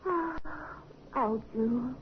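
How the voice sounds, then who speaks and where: Wistful English wife. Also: female voice, fairly high pitch, takes it slowly, background noise. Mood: flirty. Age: near 40. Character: wistful, emotional Englishwoman innkeeper's wife near forty. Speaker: radio drama actress. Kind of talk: 1950s radio crime drama acting. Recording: muffled vintage radio recording.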